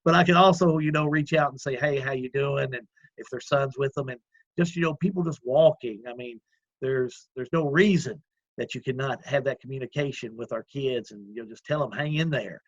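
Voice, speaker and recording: A man with a loud voice, an executive director talking earnestly in a casual interview, with many fillers and restarts. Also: medium pitch, a little fast, dry recording, highs cut off. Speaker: community action executive director. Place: at home, remote call.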